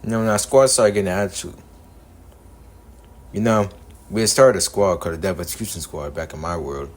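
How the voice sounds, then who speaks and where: Man voicing a low-pitched, determined young devil hunter. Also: a little fast, close to an everyday voice. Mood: earnest, reflective. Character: determined young male devil-hunter from another universe, earnest and guarded. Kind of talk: animated-series character dialogue, recorded in repeated takes.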